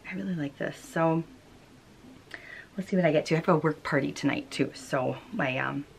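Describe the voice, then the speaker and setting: Casting: American woman, thirties, low register, casual chatty vlog host, homemade recording with slight background noise. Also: moderate pace, creaky. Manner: chatty, informal, giggly. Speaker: knitting podcast host. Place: small wood-panelled room at home.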